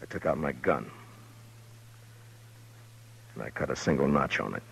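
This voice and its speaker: A man's voice: extremely low pitch, vocal fry, heavily acted. A man acting a stern, weary frontier lawman who narrates his story tersely in a 1950s radio western. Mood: somber, brooding. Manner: terse, grave, deliberate storytelling. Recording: vintage 1950s radio recording with music.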